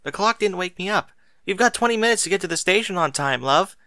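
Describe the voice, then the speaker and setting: A man's voice: high voice, animated, fast, pushed and bright, no room sound, clean studio sound, pitched above the performer's own voice, exaggerated voice. A man voicing a flustered, bossy married mother who exclaims and nags in a storybook reading. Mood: urgent, scolding. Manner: exclaiming, nagging.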